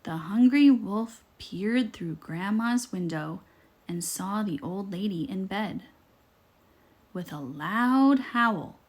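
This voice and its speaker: A woman reading a scripted children's fairytale aloud in a deliberate, expressive storytelling manner. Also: mid-range voice.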